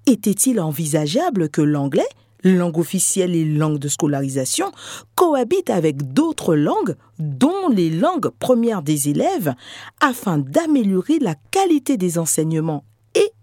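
Dark-voiced woman narrating a podcast. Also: lowish voice, big pitch swings, no echo, clean studio sound. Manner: measured, storytelling delivery. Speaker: researcher and lecturer.

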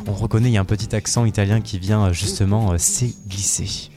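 Low-voiced male radio host. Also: steady tone, a little fast, over music. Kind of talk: casual live radio talk.

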